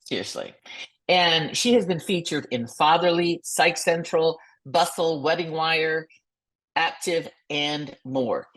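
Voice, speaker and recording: A woman's voice: low-pitched, slow pace. A woman hosting a motivational podcast as a coach, enthusiastic and effusive, who sometimes stumbles over words while reading.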